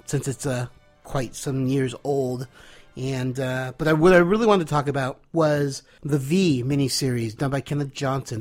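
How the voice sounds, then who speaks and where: A congested man in his thirties sends in a casual audio comment, mid-pitched and eager. He talks with nostalgic enthusiasm, stopping often for fillers. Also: a little mellow. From California. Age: 30s. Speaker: caller leaving an audio comment. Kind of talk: casual recorded message.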